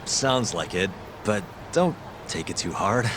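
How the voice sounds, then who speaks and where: Game detective, lowish voice, deadpan, polite, easygoing, noisy city backdrop. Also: male voice, slow pace. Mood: awkward. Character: dry, laid-back male private detective, ex-lawyer. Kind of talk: English video game dub, conversational.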